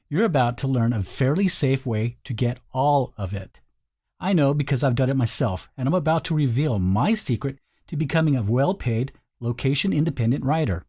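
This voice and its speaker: A man's voice: medium pitch, a little mellow. A man narrating a scripted sales video in a conversational, persuasive manner. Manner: conversational, persuasive, rhetorical questions.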